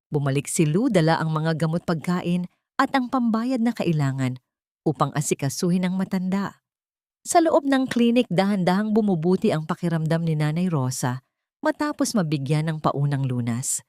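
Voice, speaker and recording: A woman's voice: low voice, darkish tone, no echo, studio quality. A woman narrating a scripted dramatic story as a storyteller.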